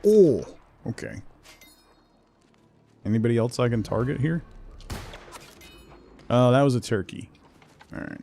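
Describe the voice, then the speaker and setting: A man's voice: medium pitch, animated, measured pace, creaky, pauses often, mellow, a little hiss or hum. A man doing a casual, rambling livestream over a video game, talking conversationally with viewers. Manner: rambling, conversational, reads chat and game text aloud. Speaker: game streamer, host.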